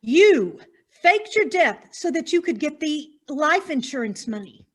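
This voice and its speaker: Woman playing very high-pitched, melodramatic lady reporter. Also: sing-song. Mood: determined. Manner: melodramatic, deliberate. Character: bold, nosy woman newspaper reporter, melodramatic and determined. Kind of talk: stage farce acting.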